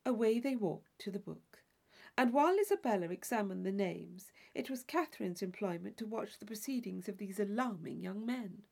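A woman narrating a classic novel, reading in a measured, formal storytelling manner. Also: mid-range voice, lively intonation, gravelly, mellow. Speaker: audiobook narrator. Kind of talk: scripted literary narration.

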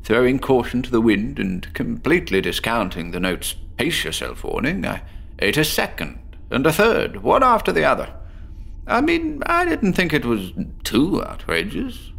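A man's voice: medium pitch, huge pitch swings, moderate pace, gravelly, fairly bright tone, exaggerated voice. An actor playing a chatty, nervously jovial male patient with an apple obsession, telling his story theatrically in a horror audio drama. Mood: relishing, eerie. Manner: theatrical, rambling, with nervous chuckles.

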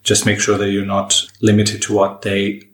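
Guest linguist, male, measured and deliberate, roomy sound. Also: fairly low pitch, completely flat delivery. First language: Portuguese. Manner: measured, thoughtful, frequent restarts. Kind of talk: podcast discussion. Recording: roomy echo.

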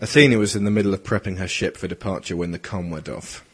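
Man to narrate audio fiction, low register, scripted read with music underneath. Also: fast talker, fairly bright tone, breathy edge. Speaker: narrator and creator.

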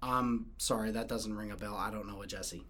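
A young woman playing a kidnapped high school girl, stammering and pleading in a student audio drama. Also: fairly even intonation, brisk, fairly bright tone. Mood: dismissive. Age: young adult.

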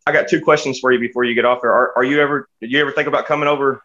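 Fast, rambling American man, lo-fi sound. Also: mid-range voice, steady tone, sharp tone. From United States. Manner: rambling, folksy, stop-start phrasing. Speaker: musician guest. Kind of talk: casual chat.

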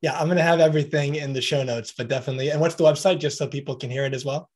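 A man hosts a podcast interview in a slightly higher than average voice. He is encouraging and upbeat, praising his guest's answers and inviting listeners to reach out. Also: rapid-fire. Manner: encouraging, wraps up with upbeat promo talk. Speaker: podcast host.

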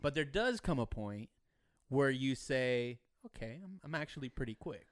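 A man's voice: medium pitch, expressive, moderate pace, no echo, clean studio sound. A man hosting a casual car podcast, asking questions in a conversational, teasing way. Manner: conversational, teasing, frequent agreement. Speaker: podcast host.

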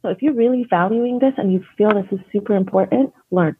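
A woman's voice: medium pitch, quick pace, breathy edge. A woman from Canada, an educator being interviewed, speaking earnestly and thoughtfully with frequent restarts. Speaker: educator and guest. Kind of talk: conversational interview. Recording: dry phone-like line.

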